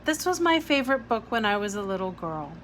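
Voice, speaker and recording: A woman's voice: medium pitch, big pitch swings, brisk, a bit bright, slight background noise. A woman reading a storybook part as a firm but caring female guardian, emphatic and reassuring. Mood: gentle insistence.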